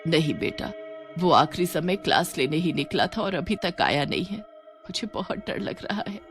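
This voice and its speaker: A woman's voice: fairly low pitch, lively intonation, moderate pace, faint music behind. Woman acting an anxious, pleading mother. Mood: worried. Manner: worried, pleading. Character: anxious mother searching for her missing son.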